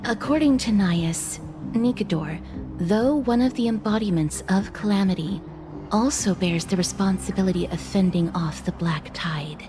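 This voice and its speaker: An actress voices a gentle, serious woman in a fantasy game. Her mid-pitched voice is soft and formal, explaining things solemnly with music underneath. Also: normal speed, lightly acted. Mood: concerned. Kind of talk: dramatic game dialogue. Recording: background music behind the voice.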